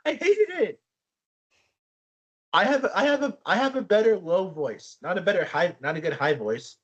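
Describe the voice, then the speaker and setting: A young man co-hosting a casual podcast, telling stories in a rambling, animated way with frequent restarts. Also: high-pitched, moderate pace, slightly rough, highs cut off. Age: young adult. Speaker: podcast co-host. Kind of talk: casual chat.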